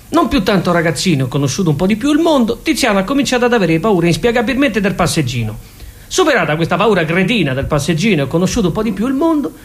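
High-pitched, animated Roman comic storyteller, male. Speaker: comic monologuist.

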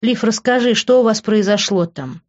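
A man's voice: sits high, moderate pace, highs cut off, voice pushed up, natural voice. A man voicing a composed, authoritative and conciliatory policewoman in an audiobook. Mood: calm, firm. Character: firm, composed policewoman, placating friend.

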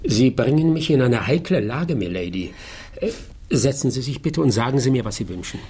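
An actor playing a shrewd, composed private detective in a German radio drama, speaking in a measured, formal way. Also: male voice, medium pitch, expressive, dark tone, husky. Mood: guarded, courteous.

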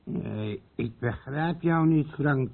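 An actor playing an untroubled, reassuring smuggler with a husky voice in a radio play. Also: male voice, mid-range voice, takes it slowly. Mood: reassuring, exasperated. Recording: tinny old radio play recording.

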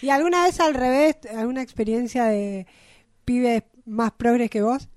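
A woman's voice: on the high side, measured pace, a little mellow, no echo, studio quality. A woman with an Argentine accent asking questions casually as a radio co-host. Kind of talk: casual chat.

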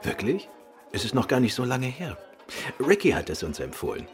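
An actor playing a courteous, composed gentleman detective and affectionate husband in a German radio play, with a polite, reassuring manner. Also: mid-range voice, very animated, moderate pace, soft background music, close to an everyday voice. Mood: light, amused.

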